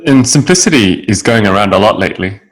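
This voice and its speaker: A man hosting an interview in a friendly way, with quick nods and affirmations. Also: on the low side, expressive, rough recording. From Australia. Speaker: interview host. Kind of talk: casual interview talk.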